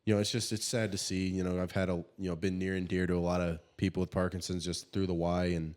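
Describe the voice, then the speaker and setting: A young man with a low voice and a slightly stuffed-up nose chats on a casual podcast. He talks in halting bursts, leaning on fillers and restarting his thoughts. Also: flat delivery, darkish tone. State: stuffed up from allergies. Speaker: podcast co-host. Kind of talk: casual chat.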